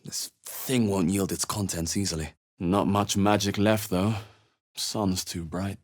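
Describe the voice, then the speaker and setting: An actor playing a wry, wary adventurer hero in a fantasy video game, speaking in a measured, understated, dry way. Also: male voice, sits low, steady tone. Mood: wary, thoughtful. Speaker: video game player character voice. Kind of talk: scripted fantasy game exploration barks.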